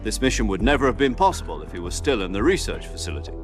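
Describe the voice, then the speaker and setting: A man voicing a calm, authoritative military commander in a video game, briefing an agent in measured, clipped speech. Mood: grave, explanatory. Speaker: mission commander. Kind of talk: cinematic video game voice acting, mission briefing. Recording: loud music and engine rumble behind. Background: music, vehicle rumble.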